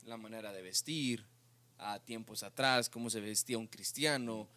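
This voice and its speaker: A man from Mexico co-hosting a youth faith podcast, explaining points in steady conversational speech. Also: on the low side, normal speed, no echo. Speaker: podcast co-host. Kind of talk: casual faith discussion.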